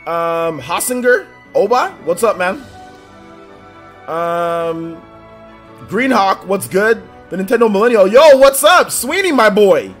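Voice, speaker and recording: A man hosting a casual livestream, rattling off upbeat shout-outs to viewers, with a slightly stuffy nose. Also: sits high, lively intonation, faint music behind. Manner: upbeat, rapid shout-outs, laughs often. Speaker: livestream host. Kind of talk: casual livestream chat, reading out viewer names.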